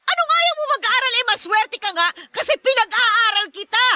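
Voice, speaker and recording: A very high-pitched, harsh mother in a radio drama. Her voice is extremely sharp and forceful, scolding in exclamations and threats, recorded dry and close. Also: animated, normal speed, no room sound, heavily acted. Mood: furious scolding. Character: harsh, scolding, short-tempered Filipino mother of a student. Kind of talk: Tagalog radio drama acting.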